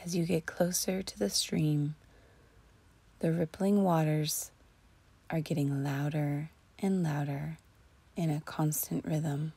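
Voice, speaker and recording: A woman narrating a guided imagery relaxation in a soothing, deliberate, breathy voice that often sinks close to a whisper. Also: low voice, fairly even intonation, dark tone. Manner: soothing, slow and deliberate with long pauses. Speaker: guided meditation host.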